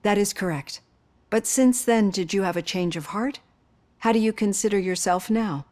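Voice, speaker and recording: An actress playing a psychologist in her fifties who asks gentle, probing questions. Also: mid-range voice, moderate pace, natural voice. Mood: earnest. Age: 50s. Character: female psychologist in her fifties, caring and composed.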